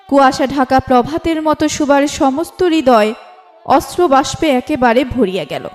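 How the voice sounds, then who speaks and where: A woman's voice: high-pitched, a little mellow. A woman reading a scripted literary story as narrator, in a formal storytelling delivery. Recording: music bed under the voice. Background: instrumental music.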